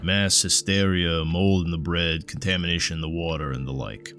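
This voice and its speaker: A man voicing a bookish, earnest occult scholar in an audio drama, explaining things in a measured, pedantic and faintly exasperated way. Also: low-pitched, steady tone, a bit bright, faint music behind. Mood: patient lecturing.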